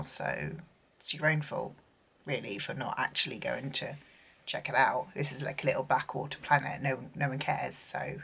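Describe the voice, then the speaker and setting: A woman's voice: low-pitched, a bit bright. A woman vlogging about books in a rambling, casual way, full of fillers and restarts. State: losing her voice, tired and unwell. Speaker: book vlogger. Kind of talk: casual chat.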